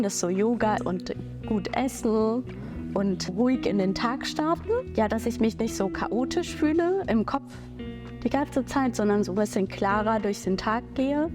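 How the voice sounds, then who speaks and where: A young woman in her twenties hosts a casual chat, fast and teasing, her voice a touch high. Music plays clearly underneath her. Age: 20s. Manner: quick, laughing, teasing. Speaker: host, daughter.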